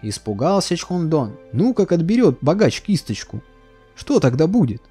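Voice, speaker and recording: A male storyteller reads a fairy tale with lively, expressive delivery. His voice sits a little high for a man yet sounds mellow and warm, swinging widely in pitch. Gentle music plays softly beneath him. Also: moderate pace, slightly rough. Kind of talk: storybook narration. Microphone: voice-over. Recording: soft music behind.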